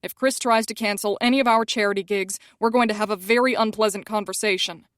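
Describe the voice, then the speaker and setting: A woman's voice: mid-range voice, steady tone, fast, no room sound, studio quality, lightly acted. Woman voicing a curt male band member. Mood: firm. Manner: curt, firm.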